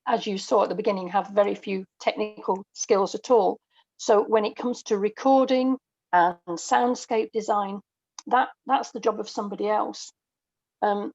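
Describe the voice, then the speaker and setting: A middle-aged woman talks as a podcast guest with a noticeably creaky voice. She explains in a measured, conversational way, pausing between phrases. The recording is dry and somewhat dull. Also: medium pitch, darkish tone, no echo. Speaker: guest, audio drama writer. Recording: dull, close dry lo-fi audio.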